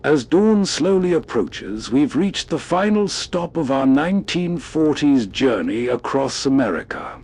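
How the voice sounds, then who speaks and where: A man's voice: medium pitch, very animated, unhurried, few pauses, darkish tone, slightly rough. A man hosting with scripted, atmospheric storytelling narration. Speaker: host, narrator.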